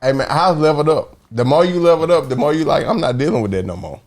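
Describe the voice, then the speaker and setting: Blunt man with lively, swooping delivery. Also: fairly high pitch, fast talker. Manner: blunt, profane. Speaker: podcast co-host. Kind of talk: casual bantering chat with slang.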